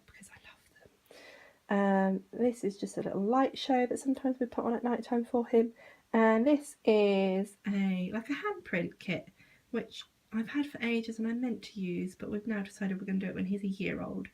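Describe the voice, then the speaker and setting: Casting: young British woman, husky with some creak, informal home vlogger, self-deprecating and unpolished. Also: medium pitch, dark tone. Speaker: vlogger giving a home tour. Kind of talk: casual informal chat to camera.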